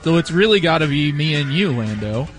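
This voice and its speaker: A man's voice: a little high, expressive, brisk, soft background music. A man hosting radio, filling air time with upbeat banter and laughter. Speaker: radio host.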